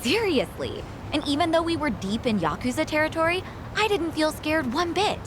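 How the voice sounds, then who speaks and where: An actress playing an earnest, supportive young woman in a game cutscene, sincere and expressive. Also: sits high, normal speed, background noise. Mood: upbeat. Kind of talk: video game cutscene acting.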